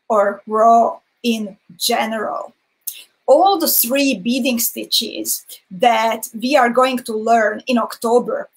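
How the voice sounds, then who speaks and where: A woman's voice: on the high side, rough recording. A woman from Slovakia with a Hungarian accent, a native Hungarian speaker, hosting a friendly, chatty live beading tutorial and greeting viewers by name. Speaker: beading instructor.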